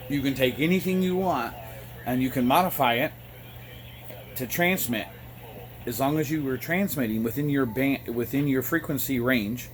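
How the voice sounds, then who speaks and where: Halting man explaining, noisy phone recording. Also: mid-range voice. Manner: halting, explanatory, apologetic asides. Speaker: amateur radio operator and vlogger. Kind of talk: informal product explainer to camera. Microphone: phone front camera. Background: two-way radio sounding in background.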